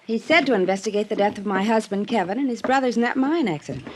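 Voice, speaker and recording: A woman's voice: medium pitch, fast, lightly acted. A woman playing a poised, cool ranch widow in a 1950s radio drama, speaking in a composed, direct way. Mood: cool, polite. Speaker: supporting actor in a radio drama. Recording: noisy old radio drama recording.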